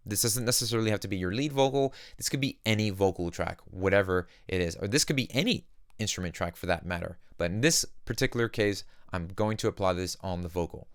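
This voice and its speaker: Low, smooth-voiced man teaching. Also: no echo, studio quality. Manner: explanatory, uses simple analogies. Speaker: music production tutorial host. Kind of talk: casual software tutorial narration.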